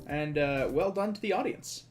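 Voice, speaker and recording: A man's voice: a little high, animated, moderate pace, faint music behind. A man from Quebec co-commentating on a video game in casual, teasing, sarcastic chat. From Quebec, Canada. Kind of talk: casual joking chat over gameplay.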